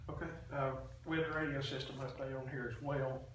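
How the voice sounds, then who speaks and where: A man explaining a report at a public meeting in a halting, matter-of-fact way. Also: mid-range voice, level tone. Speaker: official presenting a radio system report to a committee. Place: indoor public meeting room. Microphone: distant room microphone. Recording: echoey meeting room, distant mic, faint noise.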